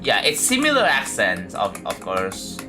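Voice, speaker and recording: A man's voice: on the low side, huge pitch swings, unhurried, over music. A man with an Indonesian accent, chatting casually to his livestream viewers while building a game level and thinking aloud. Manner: thinks aloud, talks to chat, many fillers and restarts.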